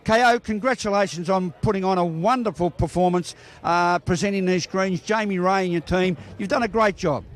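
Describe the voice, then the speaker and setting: A man with a high voice interviews club members at an outdoor bowling green for a local sports report. He is jovial and likes to tease the people he talks to. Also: normal speed, hardly any pauses. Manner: jovial, teasing. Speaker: bowls reporter.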